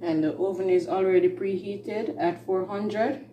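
A woman's voice: medium pitch, steady tone, distant mic, highs cut off, slight background noise. A woman hosting a home cooking video, talking through the steps plainly with fillers in her kitchen. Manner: unhurried, plain step-by-step talk with fillers. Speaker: home cooking channel host. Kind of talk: casual cooking demonstration. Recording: roomy kitchen, voice off-mic, faint music.

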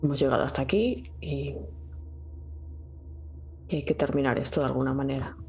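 A woman player speaking her character's actions in a tabletop game, soft and earnest. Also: rumbling low, moderate pace, a little hiss or hum. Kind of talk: in-character roleplay.

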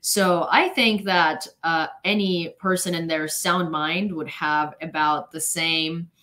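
A woman's voice: low voice, steady tone, slow, sharp tone. Blunt young woman vlogging news. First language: Ukrainian. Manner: blunt, opinionated, with frequent fillers and restarts. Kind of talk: casual livestream commentary. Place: small bedroom at home.

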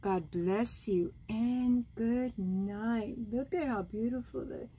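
An older woman in her sixties reads a children's bedtime story at home. Her voice sits fairly high for a woman and has a husky, worn grain. She goes very slowly, expressive and gentle, adding cooing comments on the pictures. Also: rough recording. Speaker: grandmother reading storybooks to children. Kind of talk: read-aloud bedtime story with side comments. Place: small room at home, indoors.

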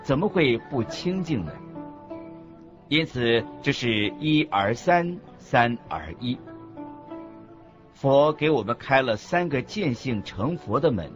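A man reading scripted religious teaching as a narrator, in a deliberate, instructive manner. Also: medium pitch, very animated. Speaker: narrator of a Buddhist teaching radio program. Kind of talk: scripted didactic narration in a radio program. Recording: muffled lo-fi audio with music underneath. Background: background music.

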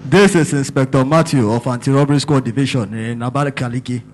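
A man playing a Nigerian police inspector who reports by phone in a halting, hesitant way. His voice sits at a plain mid pitch, steady and unhurried. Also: slight background noise, close to an everyday voice. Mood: calm. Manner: hesitant, filler-heavy.

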